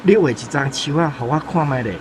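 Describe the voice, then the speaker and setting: An actor playing a blunt, thrifty sign-shop boss with a Taiwanese accent, curt and offhand, in a radio drama. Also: male voice, fairly high pitch, animated, brisk, noisy background, close to an everyday voice. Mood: curt, testing.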